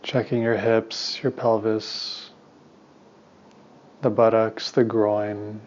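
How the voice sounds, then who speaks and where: A man with a low voice guides a meditation in a calm, soothing manner. His delivery stays very flat and unhurried, leaving long pauses between short instructions. The recording sounds slightly dull and muffled. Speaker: meditation teacher and therapist.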